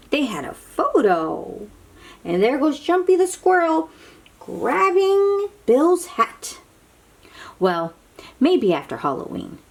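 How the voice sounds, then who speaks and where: Expressive woman reading to children, slightly husky. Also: medium pitch. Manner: expressive, drawn-out, dramatic delivery with hushed moments. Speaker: children's book reader. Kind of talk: read-aloud storytelling to young children with asides and questions.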